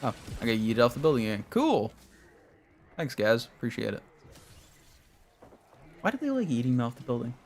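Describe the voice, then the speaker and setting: A man's voice: mid-range voice, big pitch swings, normal speed, long pauses, a little mellow, a little hiss or hum. A young man streaming a game, chatting casually with his viewers in a rambling way while he plays. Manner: rambling, talks to chat while playing.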